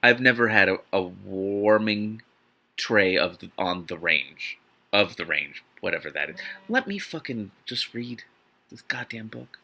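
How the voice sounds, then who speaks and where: A man's voice: on the low side, big pitch swings, a little slow, creaky, bright, forceful tone. An American man giving informal, joking asides during a read-aloud, irreverent, incredulous and given to swearing. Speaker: host reading a book aloud with commentary.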